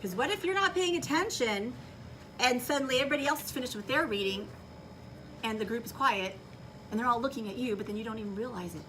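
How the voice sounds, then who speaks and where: A woman's voice: a little high, quick pace, a bit bright. A woman schoolteacher giving instructions to children in a classroom, projecting her voice and checking understanding with questions. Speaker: elementary school teacher. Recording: distant mic, noisy classroom.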